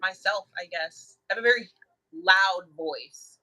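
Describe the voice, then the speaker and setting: Bright, laughing woman co-host. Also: fairly low pitch, measured pace, poor audio. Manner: laughs often, acts out her own past remarks. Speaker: co-host, mental health worker. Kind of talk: casual conversation.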